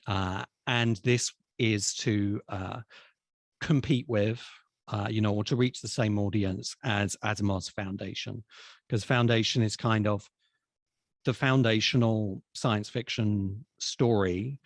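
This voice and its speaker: Low-voiced man, dry close sound. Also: steady tone, slow, no echo. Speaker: sci-fi expert guest. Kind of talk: explanatory conversation.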